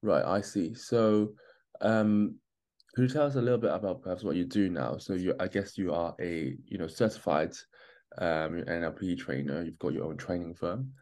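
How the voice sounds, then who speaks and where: A man's voice: low-pitched, normal speed, darkish tone. A man hosting a podcast interview, listening attentively, giving frequent short acknowledgements and asking questions.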